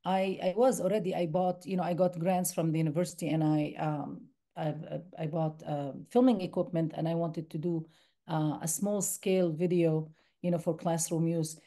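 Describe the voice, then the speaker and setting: Woman from the West Bank, filmmaker guest, lowish voice, mellow tone, thoughtful. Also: little pitch movement, normal speed. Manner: thoughtful, restarts often. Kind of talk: answering interview questions. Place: university screening room.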